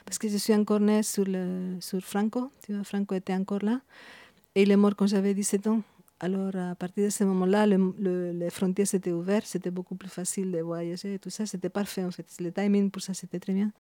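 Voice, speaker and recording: A woman in her fifties from Spain, speaking with a Spanish accent, reflective and halting, with many restarts. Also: medium pitch, flat delivery, warm tone, no echo. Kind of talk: reflective interview talk.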